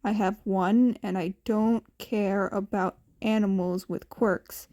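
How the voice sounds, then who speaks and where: A woman voicing a blunt, protective grown man in a podfic story, with a dry, deadpan manner. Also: medium pitch, slow pace, pitched below the performer's own voice, lightly acted. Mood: dry, reassuring. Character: gruff, dry but protective adult man, hero.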